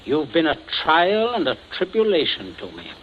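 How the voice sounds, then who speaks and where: An actor playing a stern father deliberately reproaching his son in a 1940s radio drama. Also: on the high side, wildly swooping pitch, a little hiss or hum. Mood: grave reproach. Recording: muffled old 1940s radio recording.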